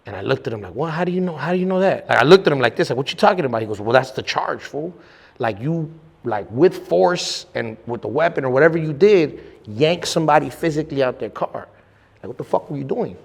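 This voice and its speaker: A man's voice: fairly high pitch, lively intonation, fast, vocal fry, slightly rough, long reverb tail. A young man telling his story in a casual interview, rambling with lots of restarts and slang. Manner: rambling, slangy, many restarts and "you know what I'm saying". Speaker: guest.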